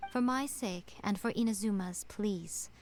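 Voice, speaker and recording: Woman playing elegant sly shrine priestess in a game, measured and a bit haughty, mid pitch, faint noise. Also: lightly acted. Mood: solemn, instructing. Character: poised, sly shrine priestess, teasing yet commanding.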